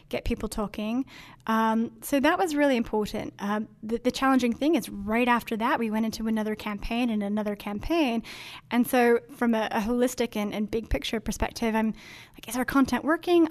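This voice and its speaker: A woman from Denmark answering interview questions about marketing in a chatty, earnest way, with many ums and likes. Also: on the high side. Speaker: marketing executive.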